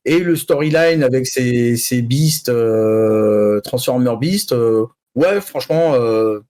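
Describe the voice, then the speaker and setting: A man's voice: mid-range voice, expressive, slow pace, darkish tone. A man giving film news as a podcast panelist, casual and colloquial, often repeating himself. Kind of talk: casual chat.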